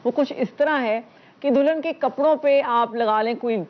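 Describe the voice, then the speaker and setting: A woman co-hosting a TV show, brisk and matter-of-fact as she gives figures. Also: sits high. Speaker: co-host. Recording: slightly dull TV broadcast sound.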